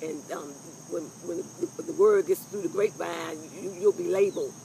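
An older woman with a loud voice, giving frank, rambling workplace advice to a phone camera outdoors, with salty asides. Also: lowish voice, fairly even intonation, moderate pace, creaky, slight background noise, low-quality recording. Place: outdoors on a lawn by trees. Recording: faint insects and crickets outdoors.

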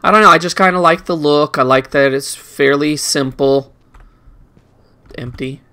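A man's voice: a little high, measured pace, bright, forceful tone, clean tone. A man giving casual video game commentary, rambling and muttering playfully to himself as he plays.

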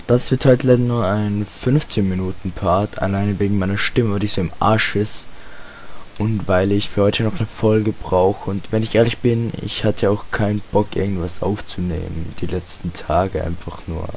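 Slightly hoarse teenage boy, low voice. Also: rough recording. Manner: rambling, self-deprecating, slangy. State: slightly hoarse, sore throat. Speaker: gaming video host. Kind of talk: casual Let's Play commentary. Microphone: home computer microphone. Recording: hissy home microphone with steady noise.

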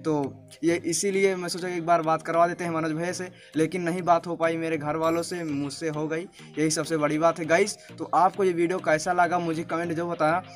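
A man's voice: fairly high pitch, little pitch movement, a little fast. A young Indian man in his late teens talking casually to camera as a video host. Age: young adult, late teens. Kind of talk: casual vlog talk to camera. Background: music.

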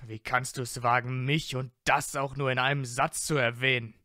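A man plays a proud young wizard in an amateur audio drama. His voice is bright and sharp at a middling pitch, with no room around it. He is teasing, easily affronted and full of himself. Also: level tone, normal speed, no echo, studio quality, close to an everyday voice. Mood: offended, haughty. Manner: haughty, indignant, teasing. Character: proud, vain young blond male wizard, easily offended, mocking. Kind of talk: fan audio drama acting.

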